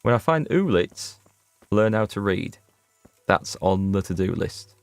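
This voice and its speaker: Male streamer, low and gravelly, casual running commentary, chats with his audience. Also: very animated, unhurried, no room sound, faint music behind. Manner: chatty, reads out and answers viewer chat. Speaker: gaming streamer. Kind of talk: casual let's play commentary.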